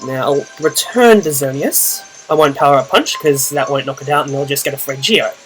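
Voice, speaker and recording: A young Australian man with a naturally loud voice, casually narrating his own Pokemon battle, thinking aloud with fillers. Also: mid-range voice, brisk, soft background music. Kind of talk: casual play-by-play gaming commentary.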